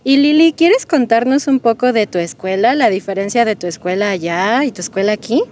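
Spanish-language podcast host, woman, warmly encouraging interviewer. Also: on the high side, animated, brisk, a little hiss or hum. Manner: encouraging, frequent affirming "ujú". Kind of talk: interview questions.

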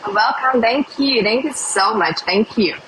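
A young woman from Russia with a Russian accent, hosting a casual livestream while eating, speaking simple English slowly with many pauses and hums. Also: a little high, background noise. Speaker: livestream host. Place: indoors at a table by a window.

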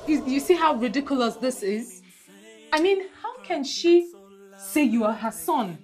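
A woman's voice: high-pitched, expressive, a little slow, soft background music. An actress playing a composed assistant who reassures her boss she will handle the problem. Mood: reassuring. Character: calm capable female assistant, reassuring.

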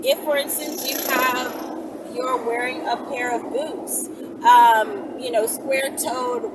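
High-voiced young woman chatting while driving. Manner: conversational, emphatic, repeats phrases, checks in with "right?". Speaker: vlog host answering viewer questions. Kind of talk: casual instructional chat to camera. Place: inside a moving car. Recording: distant mic, loud car road noise.

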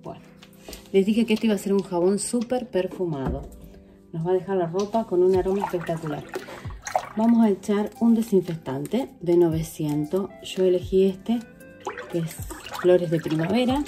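A woman's voice: on the low side, normal speed. Woman vlogger, 40s, chatty home demo, phone mic, music under her voice. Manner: chatty, addresses viewers directly, working while talking. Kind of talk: casual how-to demonstration. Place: home kitchen, indoors. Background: background music.

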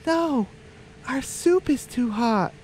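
Man putting on a high-pitched silly cartoon chicken. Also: lively intonation, slow pace, a little mellow, slight background noise, exaggerated voice. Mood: excited, silly.

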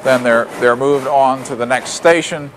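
A man's voice: mid-range voice, normal speed. A man guiding a walking tour through a factory, explaining each step plainly with frequent fillers and restarts. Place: loud factory floor. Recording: factory noise behind voice.

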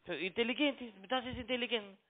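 A man's voice: very high-pitched, quick pace, crowd noise. Pushy man speaking broken German over a phone. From Italy. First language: Italian. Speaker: call center trading broker. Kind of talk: phone call. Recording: tinny phone line.

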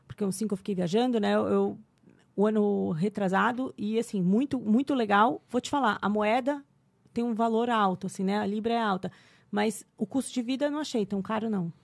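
A Brazilian woman in her thirties, an English teacher, explaining things in an animated podcast chat and laughing often. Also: medium pitch, brisk, gravelly, no echo. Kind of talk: podcast conversation.

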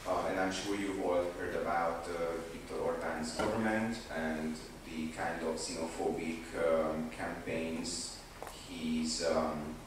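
Hungarian guy on a panel, slow and hesitant with lots of ums, recorded far off, noisy room. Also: deep voice, level tone. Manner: hesitant, many ums and restarts, speaks slowly and deliberately. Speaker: panelist, activist. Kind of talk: panel discussion talk. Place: large indoor conference room, seated on a sofa panel. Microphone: handheld mic, recorded from a far camera. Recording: echoey hall from far off with background noise.

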